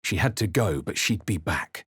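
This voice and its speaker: A man playing a grave, introspective adventurer hero in a fantasy video game, speaking in a measured, dramatic way. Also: low-pitched, expressive, a bit bright, husky, no echo, clean studio sound, exaggerated voice. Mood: grave, brooding. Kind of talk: scripted video game narration and character lines.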